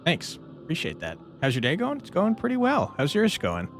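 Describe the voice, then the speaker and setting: A man's voice: a little high, wildly swooping pitch, moderate pace, a bit bright. A man streaming a game, giving casual live commentary and chatting informally with his viewers. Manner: informal, reads out chat and answers it. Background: game music.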